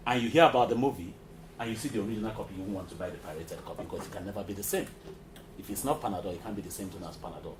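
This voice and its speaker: A middle-aged Nigerian man with an Igbo accent, an actor and filmmaker making emphatic, speechmaking remarks at a press conference. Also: medium pitch. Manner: emphatic, speechmaking, uses everyday comparisons. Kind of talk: impassioned public remarks. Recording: echoey hall with background noise.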